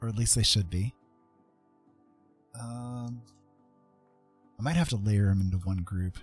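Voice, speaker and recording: A man's voice: on the low side, measured pace, husky. A man hosting a casual art livestream, playful and self-deprecating, chatting to viewers and muttering to himself as he works. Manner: muttering to himself while working. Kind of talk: casual livestream chat while demonstrating digital art. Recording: soft background music under the voice. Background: soft music.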